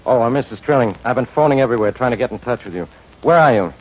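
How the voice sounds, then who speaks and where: An actor playing a blunt, impatient homicide inspector, insistent in manner, in 1940s radio drama style. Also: male voice, medium pitch, lively intonation, racing, close to an everyday voice. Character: brusque, impatient male homicide police inspector. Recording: dull 1940s radio broadcast.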